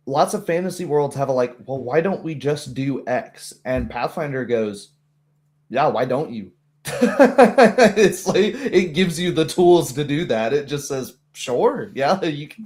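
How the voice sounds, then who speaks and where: A man with a mid-pitched voice chats casually as a game master. He speaks haltingly and is prone to chuckling as he talks through the game. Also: a little mellow. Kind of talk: casual chat about a tabletop game.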